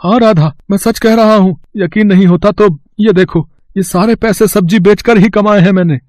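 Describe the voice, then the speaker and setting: A man acts as a humble, troubled vegetable seller in a cartoon moral tale. His voice is high for a male, earnest and plaintive. It is recorded very dry. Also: moderate pace, a little mellow, no room sound, close to an everyday voice. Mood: amazed, earnest. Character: poor, troubled, kind-hearted village vegetable seller.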